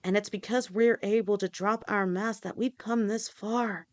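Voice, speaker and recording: A devoted serpent-tailed fiancée, voiced by a woman, talks softly and reassuringly in an audio roleplay. Her voice sits at an ordinary middle pitch, affectionate and steady, protective of the one she loves. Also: moderate pace, close to an everyday voice. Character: loving female lamia fiancée, protective and reassuring. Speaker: voice actor.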